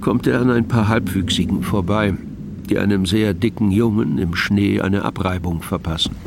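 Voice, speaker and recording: A man narrating a scripted radio play in a measured, matter-of-fact manner. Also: on the low side, vocal fry, background noise.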